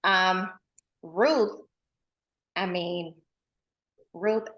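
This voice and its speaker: A woman's voice: lowish voice, drawn-out words, a bit bright. Playful woman hosting a chat show. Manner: playful and familiar, slangy asides. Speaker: host. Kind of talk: casual chat.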